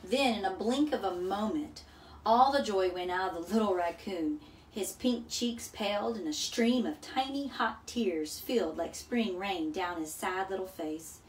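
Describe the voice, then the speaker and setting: A woman's voice: medium pitch, a little slow. A woman narrating a children's storybook as a story time reader. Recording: echoey room, distant mic, faint noise.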